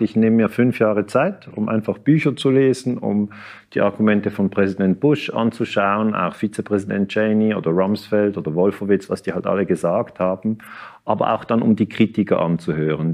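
A middle-aged man speaks in a very low voice. He explains his points in a measured way, often pausing and restarting his sentences. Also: gravelly, a little mellow. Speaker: historian and interview guest. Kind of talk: interview answers.

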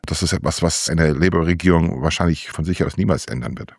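A man with a low-pitched voice comments on politics as a correspondent in an interview. He talks without a script. The sound is dry, with very little room in it. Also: brisk, slightly rough, no room sound. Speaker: political correspondent reporting from London.

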